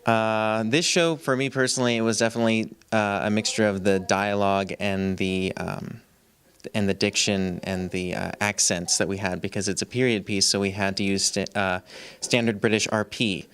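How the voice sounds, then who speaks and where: Young guy, lowish smooth voice, chill answers with some uhs. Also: fairly even intonation, a bit bright. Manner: easygoing, a bit halting with fillers. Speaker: student actor. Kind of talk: casual interview answers.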